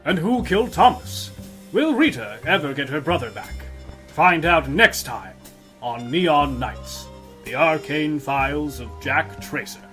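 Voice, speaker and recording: A man plays a melodramatic old-time radio serial announcer. His voice is raised a little in pitch, with music playing beneath. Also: expressive, measured pace, bright, forceful tone. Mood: suspenseful, grand. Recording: music under the voice.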